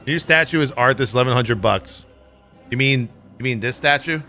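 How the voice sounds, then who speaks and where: A man with a bright, forceful voice commentates casually on a gaming livestream. He is blunt and opinionated and swears freely. Faint music plays behind him. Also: mid-range voice, normal speed. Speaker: livestream host.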